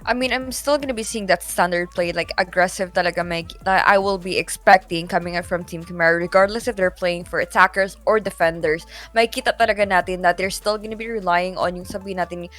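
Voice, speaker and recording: A woman's voice: fairly low pitch, fast, few pauses, fairly bright tone. A Filipino-accented woman doing pregame esports panel analysis in mixed English and Tagalog, wordy and often rephrasing herself. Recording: music under the voice.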